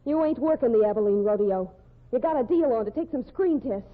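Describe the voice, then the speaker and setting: A woman's voice: a little high, lively intonation, fairly quick, close to an everyday voice. An actress playing a tough, jealous woman in a 1940s radio drama, with a hard-edged, slangy way of talking. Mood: menacing. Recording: tinny old radio broadcast.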